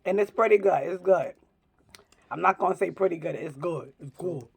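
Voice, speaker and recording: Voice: mid-range voice, normal speed, vocal fry, breathy edge. A vlogger from New York chatting casually while eating, playful and fond of repeating words. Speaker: vlog co-host. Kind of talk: casual mukbang chat.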